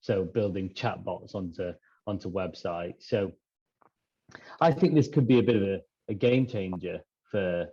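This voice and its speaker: A man talking through ideas in a conversational podcast discussion, in a halting, thinking-aloud manner. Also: sits low, warm tone, highs cut off. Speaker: podcast regular discussing pharmacy business.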